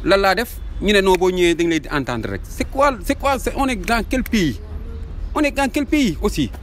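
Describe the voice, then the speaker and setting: A man's voice: high voice, sing-song, moderate pace. A middle-aged man with a Senegalese accent giving an impassioned street opinion, emphatic and full of rhetorical questions. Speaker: street interviewee. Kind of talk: impassioned vox pop opinion, mixing two languages. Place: outdoors in a city street. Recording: outdoor street ambience and traffic.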